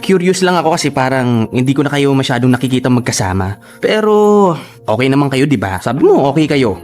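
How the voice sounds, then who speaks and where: An actor playing a nosy but hesitant friend in a radio drama, chatty and halting. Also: male voice, mid-range voice, animated, a little mellow, soft background music, natural voice. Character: hesitant, nosy friend breaking bad news. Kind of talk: Tagalog radio drama acting.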